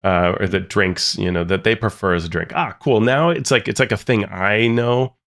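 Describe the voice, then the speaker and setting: A man's voice: lowish voice, normal speed, a bit bright. A man co-hosting a podcast, chatting casually and thoughtfully, often repeating words as he thinks. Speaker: podcast co-host. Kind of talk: casual chat about tabletop games.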